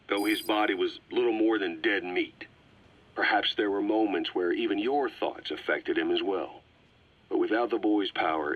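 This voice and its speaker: Low, raspy, grim intelligence officer. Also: male voice, fairly bright tone. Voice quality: raspy, husky. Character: grim, brooding male intelligence officer giving a secret briefing. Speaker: intelligence officer reading out a report. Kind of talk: dramatic scripted game briefing. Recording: slightly muffled, dull cassette-tape sound.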